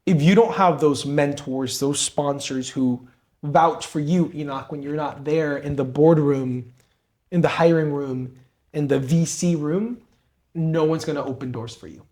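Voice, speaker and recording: A man's voice: fairly high pitch, a little slow, a little mellow. A young man from Peru, co-host of a podcast, telling his story and giving advice in an earnest, motivational way. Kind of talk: conversational interview.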